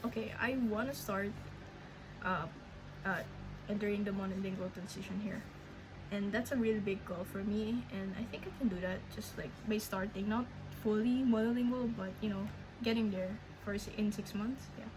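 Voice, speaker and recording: A young woman with a Filipino accent speaks at a middling pitch, her first language being Filipino. She talks slowly and rambles, stopping and restarting often. A steady noise runs clearly behind her voice. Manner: slow, rambling, hesitant with many restarts. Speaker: language learning vlogger. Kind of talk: casual update vlog.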